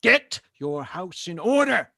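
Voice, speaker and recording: A man's voice: high voice, sing-song, takes it slowly, creaky, sharp tone, no echo, studio quality, heavily acted. A man acting a stern, pious Marine officer in a stage play read-through, preaching and commanding with emphatic delivery. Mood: stern, fervent.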